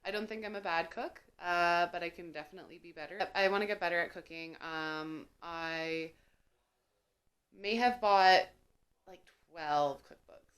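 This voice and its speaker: A young woman talks casually to camera in a cooking vlog, slipping in plenty of ums and small laughs. She is getting over a cold and sounds a little sniffly. Her voice sits somewhat low for a woman. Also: a little slow, a bit bright. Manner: chatty, with many fillers and laughs. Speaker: cooking vlogger.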